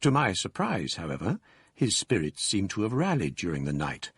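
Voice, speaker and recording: An actor narrating as a reflective gentleman, recounting events in a measured, formal way in a radio drama. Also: sits low, huge pitch swings, breathy edge, full character voice.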